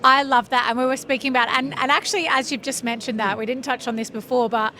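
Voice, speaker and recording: A woman's voice: sits high, fairly even intonation, fast, sharp tone. A woman hosting a podcast interview at a trade conference, chatty and enthusiastic.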